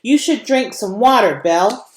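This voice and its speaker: A woman's voice: fairly high pitch, animated, moderate pace, lightly acted. A woman voicing a confident, sensible, cautious girl in a storybook, admonishing, in an echoey room. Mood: practical. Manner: assured, admonishing.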